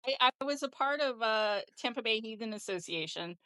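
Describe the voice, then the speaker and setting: A woman speaking as a guest in a casual group conversation, reflecting in halting, thoughtful phrases. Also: a little high, lively intonation, sharp tone, clear, resonant tone, no room sound, poor audio. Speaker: podcast guest, heathen hall member.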